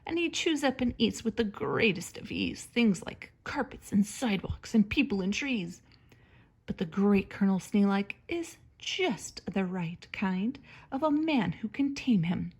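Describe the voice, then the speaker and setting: A woman reading a children's story aloud like a teacher, with expressive, theatrical emphasis and a breathy edge to her voice. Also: medium pitch, measured pace. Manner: dramatic, theatrical emphasis on words.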